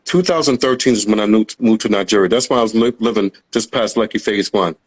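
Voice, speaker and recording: Host, low voice, American with West African-tinged accent, earnest lecturing. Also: male voice, fast, husky. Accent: mixed American and West African. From United States. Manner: earnest, lecturing, long explanations. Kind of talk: casual live-stream talk.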